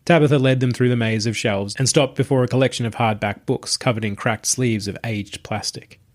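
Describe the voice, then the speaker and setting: Man narrating a short story, medium pitch. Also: fairly even intonation. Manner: measured, descriptive storytelling. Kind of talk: scripted audiobook narration.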